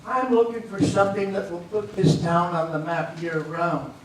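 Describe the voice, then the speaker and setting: A man's voice: high-pitched, steady tone, normal speed, close to an everyday voice. A man playing a preoccupied small-town mayor, earnest and insistent, in a staged reading. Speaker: amateur stage actor. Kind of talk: readers theater stage performance. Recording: echoey hall, distant mic, faint noise.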